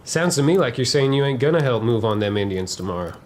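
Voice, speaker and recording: A man playing a seasoned, unsympathetic trooper who follows orders, in a western dramatization. He speaks at a middling pitch with folksy, dismissive phrasing. Faint noise sits behind the voice. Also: animated, fast, darkish tone, lightly acted. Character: obedient, unsympathetic veteran cavalry private. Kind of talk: historical dramatization dialogue.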